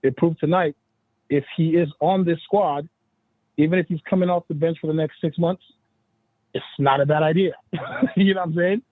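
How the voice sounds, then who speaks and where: A man's voice: on the high side, fairly even intonation, moderate pace, pauses often, no room sound. A man giving casual sports opinions as a guest, chatty and laughing often, asking "you know what I'm saying". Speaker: guest analyst. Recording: tinny phone-line call.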